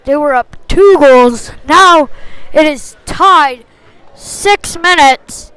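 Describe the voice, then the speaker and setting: Female hockey play-by-play caller, very high voice, slow pacing, clipped phrasing, excitable on big plays. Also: low-quality recording. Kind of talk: live sports commentary.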